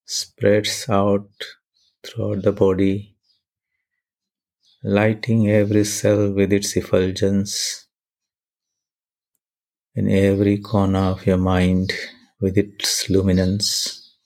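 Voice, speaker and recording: A man leading a guided meditation and prayer in measured, deliberate short phrases with pauses between them. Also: on the low side, completely flat delivery, gravelly, a little mellow.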